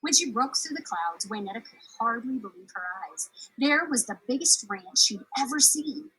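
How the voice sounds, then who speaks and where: A woman's voice: a little high, animated, moderate pace, bright, forceful tone, husky, rough recording. A woman reading a children's story aloud expressively as a storyteller.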